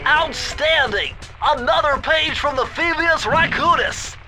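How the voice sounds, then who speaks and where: High-pitched nerdy turtle sidekick. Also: male voice, unhurried, loud music behind the voice. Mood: excited. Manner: pedantic, wordy, fussy. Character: nerdy bookish male turtle sidekick, anxious and eager. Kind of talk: cartoon video game voice acting.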